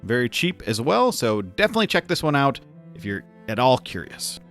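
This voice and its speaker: Male video host, mid-pitched, informal and lightly joking game commentary, music underneath. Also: big pitch swings, fairly quick, fairly bright tone. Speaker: video host reviewing games. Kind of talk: casual scripted video commentary. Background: background music.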